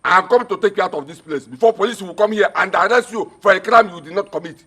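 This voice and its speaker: A man's voice: high-pitched, quick pace, pushed and bright. An actor playing a taunting, pushy male in-law who mocks and gives orders. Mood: scornful. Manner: mocking, commanding.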